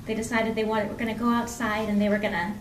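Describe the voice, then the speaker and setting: A woman telling a folk tale live in an expressive, conversational way, lingering on repeated phrases. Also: mid-range voice, quick pace. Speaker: storyteller. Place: indoor library program before an audience. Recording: echoey room from far off, faint noise.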